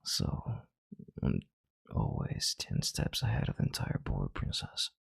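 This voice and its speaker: A man playing a calm, controlling, arrogant chief executive in an intimate audio roleplay, with slow, deliberate, condescending authority and a hushed delivery. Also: no room sound, clean studio sound, lightly acted. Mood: smug, soothing. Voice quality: deep, gravelly, breathy half-whisper. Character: calm, controlling, arrogant CEO soothing his analyst.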